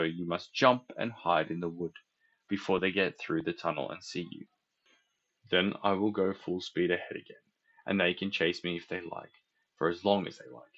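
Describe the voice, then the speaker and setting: Male engine driver acted by a man, storybook character, deep, earnest, steady, soft-hearted, dull audio.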